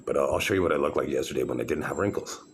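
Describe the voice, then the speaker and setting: A man's voice: very deep voice, very fast, a little hiss or hum. A middle-aged man rambling to the camera in a casual vlog, meandering with many fillers and restarts. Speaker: vlogger showing his own videos.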